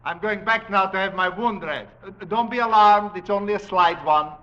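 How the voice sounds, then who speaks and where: A man's voice: high voice, normal speed, pushed and bright. An actor playing a suave, aristocratic big-game hunter who taunts his prey with theatrical, mocking courtesy in a 1940s radio drama. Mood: amused, taunting. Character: suave, gloating aristocratic male big-game hunter, cruelly playful villain. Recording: dull vintage 1940s radio recording.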